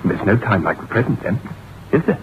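Jaunty sceptical chap, very animated mid-pitched delivery, vintage radio play, muffled old recording. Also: male voice, slight background noise. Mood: persuasive. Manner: jaunty, casual, hesitant pauses. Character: breezy, sceptical male friend of the writer. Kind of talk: old-time radio drama acting.

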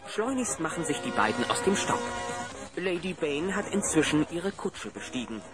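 A man's voice: fairly high pitch, sing-song, normal speed. A man narrating a children's radio play as scripted storytelling. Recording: music behind the voice.

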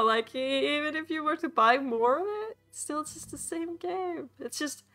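Bright-voiced woman with a mild Swedish accent. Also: high voice. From Sweden. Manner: chatty, swearing, laughing often, rambling asides. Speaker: VTuber streamer. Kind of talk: casual gameplay commentary.

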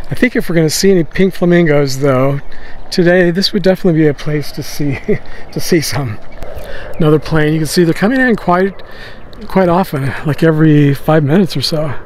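An older man rambles and muses aloud in casual walking-tour chat, recorded outdoors on a handheld camera. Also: a little high. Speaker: vlogger giving a walking tour. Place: outdoors, on quiet residential streets. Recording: outdoor ambient and vehicle noise.

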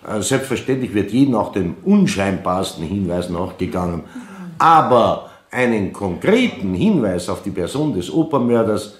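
An actor playing a methodical, courteous Austrian police chief in a radio crime drama, speaking officially and deliberately. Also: male voice, lowish voice, very animated. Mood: formal, patient. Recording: roomy, reverberant radio play sound.

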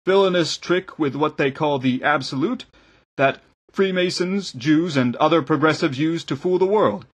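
A man's voice: on the high side, moderate pace, breathy edge. A man voicing a timid, flustered priest in an audiobook, hesitant and stammering with a tremulous delivery. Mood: nervous reading. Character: timid, flustered male priest, cowed and fawning.

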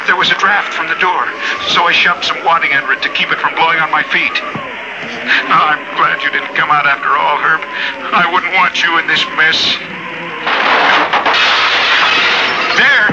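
An actor as a high-pitched, frantic hunted man. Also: normal speed, lightly acted. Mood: agitated. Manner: intense, dramatic, fearful. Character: hunted, frantic travel writer besieged by wind. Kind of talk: radio drama acting. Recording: dull radio recording over loud storm noise.

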